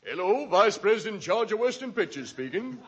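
An actor playing a gruff, busy studio executive with a raspy voice in a 1940s radio comedy. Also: male voice, sits high, big pitch swings, fairly quick, heavily acted. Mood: brusque. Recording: dull old radio recording.

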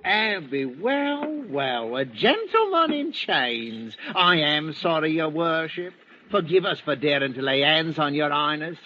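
An actor playing a coarse, sneering ship's mate, in an old radio drama. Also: male voice, on the high side, very animated, measured pace, bright, forceful tone, full character voice. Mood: mocking. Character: brutal cockney bosun, middle-aged man, sneering. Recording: muffled old radio recording with faint hiss.

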